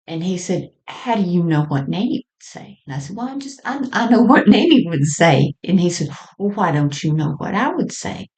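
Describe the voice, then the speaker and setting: A woman telling personal stories in a halting, conversational way with frequent small chuckles as she reminisces. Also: fairly low pitch, big pitch swings, darkish tone. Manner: halting and conversational, often chuckling mid-sentence. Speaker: storyteller sharing personal experience.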